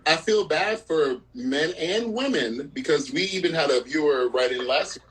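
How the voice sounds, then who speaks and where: Chatty, higher-voiced male host. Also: lively intonation, moderate pace, fairly bright tone, rough recording. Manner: chatty, laughing often, frank. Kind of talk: casual podcast chat.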